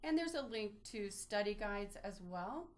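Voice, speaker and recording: A woman's voice: mid-range voice, expressive, a little slow. A woman presenting an instructional screen-share walkthrough, explaining each step informally as a webinar trainer. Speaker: librarian presenting a database tutorial.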